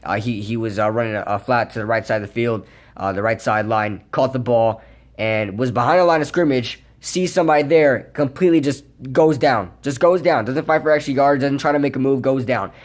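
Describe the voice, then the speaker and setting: A hoarse young man giving a casual, rambling post-game recap as a sports fan vlogger, with lots of fillers. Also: mid-range voice, a little fast. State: hoarse from yelling.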